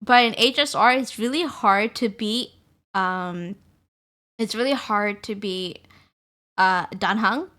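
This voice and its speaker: A woman's voice: medium pitch, slow pace, pushed and bright. A woman streaming live, chatting casually and upbeat with her viewers, greeting them and laughing often.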